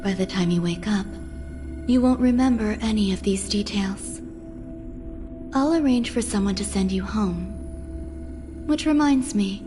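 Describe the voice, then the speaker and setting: An actress voices a woman of science in a video game, detached and exact. Her medium-pitched voice delivers formal, measured lines with cool authority, over clearly audible music. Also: natural voice. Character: aloof clinical female genius scientist, cool and transactional. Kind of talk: scripted video game voice acting. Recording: music behind the voice.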